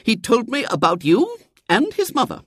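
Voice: mid-range voice, huge pitch swings, moderate pace, husky, no room sound, studio quality, voice pushed up. A storyteller voicing a prim elderly lady in an audiobook, earnest, preachy and fussily sympathetic. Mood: righteous reproach.

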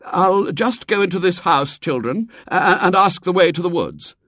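A man voicing a harassed, anxious, kindly gentleman who stammers and dithers as he herds children. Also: high voice, lively intonation, normal speed, no echo, studio quality, pitched above the performer's own voice.

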